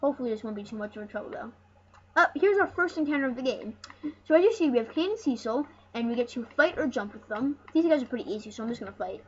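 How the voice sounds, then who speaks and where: An excitable, rambling host giving casual, jokey commentary over a video game. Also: mid-range voice, quick pace. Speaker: host of a gaming channel. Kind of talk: casual let's play commentary. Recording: dull lo-fi mic with loud noise.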